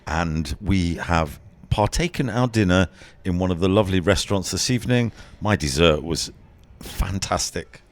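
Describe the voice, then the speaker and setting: A man's voice: low voice, animated, normal speed, dry recording. A man co-hosting a travel podcast, trading dry jokes with a casual delivery. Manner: dry, joking. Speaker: podcast co-host.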